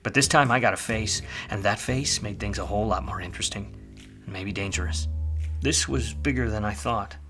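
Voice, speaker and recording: A man plays a hardboiled 1940s-style detective, world-weary and quick with a wisecrack. His voice sits fairly low with a hard, forceful edge, telling his story in slangy radio noir fashion over music. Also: lightly acted. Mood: brooding, wry. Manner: wry, slangy, tough-guy delivery. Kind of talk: film noir radio play, first-person narration and dialogue.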